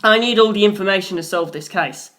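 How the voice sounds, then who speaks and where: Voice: medium pitch, brisk, a bit bright, natural voice. A narrator voicing a polite young male detective who explains his deductions formally and methodically.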